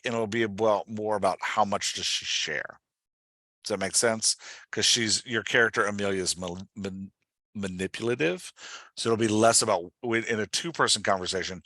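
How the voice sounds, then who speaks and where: A man with a bright, forceful voice talks someone through their work like a hands-on coach. His delivery is casual but direct, and he keeps checking for agreement. The sound is close and dry, with very little room. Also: on the low side, no echo. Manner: direct, instructive, often checks understanding. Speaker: podcast host coaching an author on dialogue. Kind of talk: casual one-on-one coaching talk.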